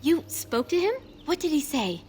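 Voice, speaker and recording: A woman's voice: mid-range voice, measured pace, slight background noise, close to an everyday voice. Guarded young elf woman played by an actress. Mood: surprised, wary. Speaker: video game character. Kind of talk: dramatic game dialogue.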